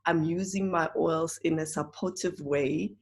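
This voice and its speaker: Slow, low-voiced hoarse woman. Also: fairly even intonation, a little mellow. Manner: earnest, halting, with frequent fillers and restarts. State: hoarse, croaky voice. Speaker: presenter of an online oils class. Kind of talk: casual spoken class talk.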